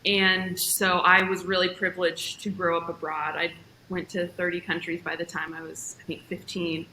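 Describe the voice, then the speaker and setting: A woman in her twenties who grew up abroad, a journalist guest telling her career story in a lively, quick way from her home. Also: on the low side, echoey room, a little hiss or hum, rough recording. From grew up in Jordan and Ethiopia. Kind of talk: casual interview, storytelling. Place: at home, indoors.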